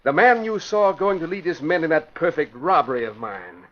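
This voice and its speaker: A man playing a haughty, excitable criminal mastermind with a grandiose manner in an old radio drama. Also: on the high side, normal speed. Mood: boastful. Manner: grandiose, pompous boasting. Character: haughty, panicky male criminal mastermind boss. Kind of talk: classic radio drama acting. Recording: dull vintage radio broadcast recording.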